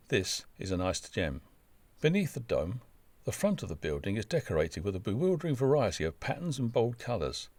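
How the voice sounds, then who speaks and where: A man's voice: mid-range voice, dry recording. A man reading a scripted travel narration in a wry, wordy, descriptive manner. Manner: wry, dry-humoured, wordy descriptive delivery.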